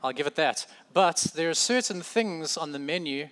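A man's voice: fairly high pitch, animated, moderate pace, a bit bright. A man with a South African accent preaching a sermon in a conversational way, correcting himself and adding light humorous asides.

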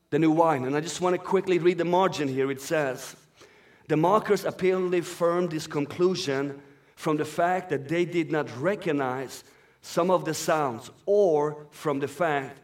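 A man born in Iran and raised in Sweden, whose first language is Farsi, preaching a sermon as a guest speaker and reading scripture in an earnest, flowing way. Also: a little high.

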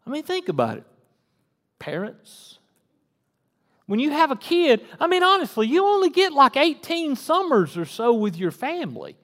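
Preacher guy in his 40s, high-ish voice, chatty sermon, long church reverb. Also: wildly swooping pitch, normal speed, fairly bright tone. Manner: folksy and conversational, rising to fervent emphasis. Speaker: pastor. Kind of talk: casual sermon. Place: church sanctuary.

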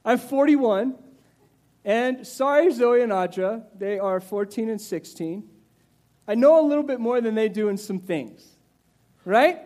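A man in his forties preaching a sermon in a conversational, animated way, with asides to the listeners and some restarts. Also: a little slow. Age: 40s. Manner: animated, conversational preaching with rises in pitch and volume. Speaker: pastor.

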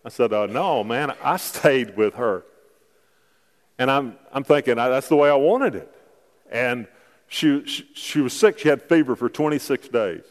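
A man pastor preaches to his congregation at a middle pitch. He talks through his points casually, slips into personal stories, then lifts into emphatic calls to the church. The sound carries a long reverb from the sanctuary. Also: lively intonation, a little slow. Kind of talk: sermon.

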